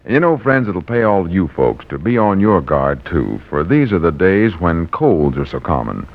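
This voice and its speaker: A man working as a radio announcer, delivering a measured, friendly sponsor message in 1940s style. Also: deep voice, sing-song. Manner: friendly direct address, measured. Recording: muffled vintage radio recording.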